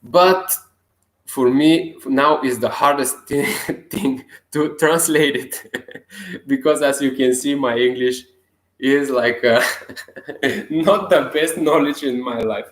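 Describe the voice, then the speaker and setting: A young man from Bulgaria with a Bulgarian accent, talking casually to camera in halting English with many restarts and chuckles. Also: mid-range voice, reverberant. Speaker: self-healing vlogger.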